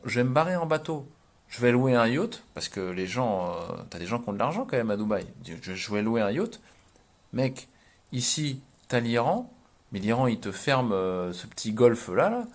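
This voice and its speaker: Man, 30s, average-pitched voice, for an informal vlog commentary. Slangy, conversational, halting as he thinks aloud. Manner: hesitant, repetitive, thinking aloud. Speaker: vlogger. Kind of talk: casual explanatory commentary.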